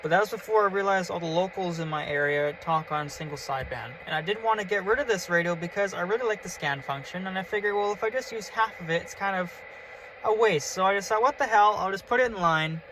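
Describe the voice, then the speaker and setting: Male hobby vlogger, high-pitched and keen, informal show-and-tell delivery, faint noise behind. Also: fast talker. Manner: enthusiastic, informal, chatty. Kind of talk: casual show-and-tell vlog. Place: in and around a pickup truck.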